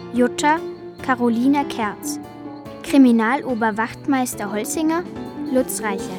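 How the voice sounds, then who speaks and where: A woman announcer reading scripted credits in a list. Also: a little high.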